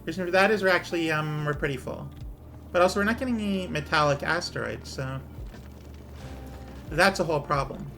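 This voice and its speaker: A man streaming a game, talking casually through his numbers as he plays, with his voice going hoarse. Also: on the high side, brisk, long pauses, bright, forceful tone, a little hiss or hum. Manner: muttering numbers while he plays, thinking aloud. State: losing his voice. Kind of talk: casual gameplay commentary.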